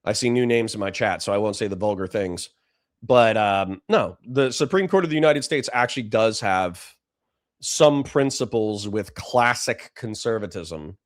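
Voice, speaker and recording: Guy streaming with a dry flat-ish voice, blunt and sweary, lots of restarts. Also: lowish voice. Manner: blunt, profane, fast restarts. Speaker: livestreamer reacting to chat. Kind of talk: livestream political commentary.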